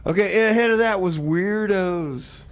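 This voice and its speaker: A man co-hosting a comedy radio show, bantering with an excitable, stammering, mock-exasperated delivery. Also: sits high, moderate pace, clean tone.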